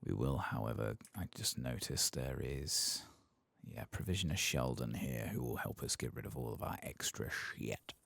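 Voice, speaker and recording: A very deep male voice full of heavy creak, murmuring ASMR game commentary close to the mic. He takes his time and often slips into a whisper. The sound is dry and intimate. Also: expressive, normal speed, fairly bright tone, no echo. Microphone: close microphone.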